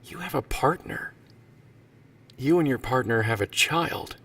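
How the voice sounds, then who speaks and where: Gravelly, imperious boss. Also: male voice, mid-range voice, lively intonation, measured pace, fairly bright tone. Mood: probing, amused. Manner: commanding, pointed questioning. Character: imperious, impatient powerful male boss, coolly menacing. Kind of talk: sci-fi audio drama acting.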